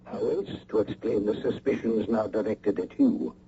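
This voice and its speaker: Actor as dark-voiced, suave, menacing spymaster. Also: male voice, lowish voice, steady tone, lightly acted. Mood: ominous, calculating. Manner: formal, measured, courteous phrasing. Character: suave, menacing spy handler, formal and deliberate. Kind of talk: radio mystery drama acting. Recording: muffled, noisy old radio broadcast.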